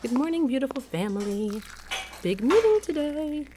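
Chirpy, high-pitched, self-satisfied mom. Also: female voice, sing-song, moderate pace, heavy background noise. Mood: chirpy, pleased. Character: breezy, self-satisfied mother of a teenage girl. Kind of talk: audio drama acting.

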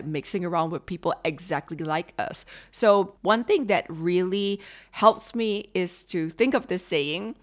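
A woman in her thirties from Singapore with a Singaporean English accent, hosting a candid solo Q&A podcast and giving frank, practical tips, sometimes slipping into colloquial Singlish. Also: lowish voice. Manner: code-switches into colloquial Singlish. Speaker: podcast host.

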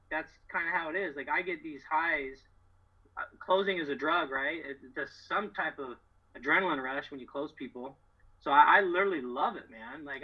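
A middle-aged American man with a husky voice, telling stories as a podcast guest in casual, slangy, emphatic, boastful talk. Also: a little high, muffled recording. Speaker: podcast guest, door-to-door solar salesman. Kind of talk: casual, animated storytelling.

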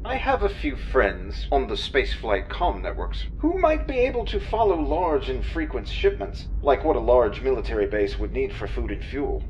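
Slightly noisy, muffled audio carries a snarky shipboard computer, acted by a man. The delivery is very animated, teasing and dryly obliging, in the manner of a science-fiction radio play. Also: medium pitch, normal speed, few pauses, lightly acted. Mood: dryly helpful. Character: cheeky, snarky male ship computer assistant. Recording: dull lo-fi sound with noise.

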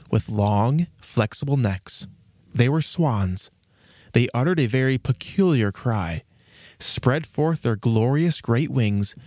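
Expressive male storybook narrator, dry sound. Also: medium pitch, no echo.

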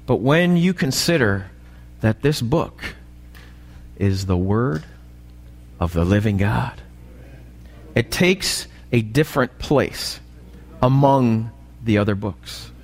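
A male pastor with an American accent and a slightly raspy voice, preaching a sermon and often checking in with short questions to the congregation. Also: medium pitch, lively intonation, takes it slowly. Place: church, preaching live. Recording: live church recording, long hall reverb.

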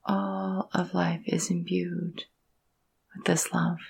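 A woman leading a guided meditation in a soft, breathy, husky voice, gentle and soothing, with long pauses between phrases. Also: lowish voice, flat delivery. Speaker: yoga nidra meditation guide.

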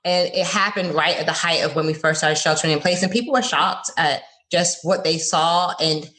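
A woman in her forties from South Florida, a college professor being interviewed on a podcast, who talks in a lively, earnest way and laughs easily. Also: low-pitched, moderate pace. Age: 40s.

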